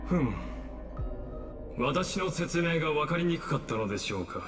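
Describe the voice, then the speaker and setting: A man playing a calm, coldly courteous mastermind in a game, speaking formally and with measured condescension. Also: fairly high pitch, long reverb tail, over music. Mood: condescending. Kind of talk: Japanese anime-style game voice acting.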